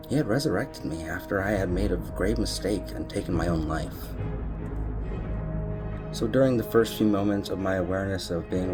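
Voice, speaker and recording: A man speaks in a low-pitched voice over clearly audible music. His delivery is solemn and deliberate, like a personal spiritual testimony to his followers. He repeats words for weight and keeps an earnest tone. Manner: solemn, deliberate, emphatic repetition. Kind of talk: earnest spoken monologue on personal spiritual beliefs.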